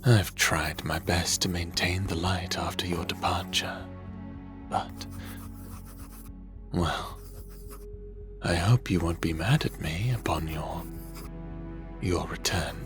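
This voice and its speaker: A man's voice: deep voice, slow pace, long pauses, over music. A man in a fantasy audio drama playing a lonely, brooding god of the underworld, earnest and hesitant in his speech. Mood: mournful, hushed.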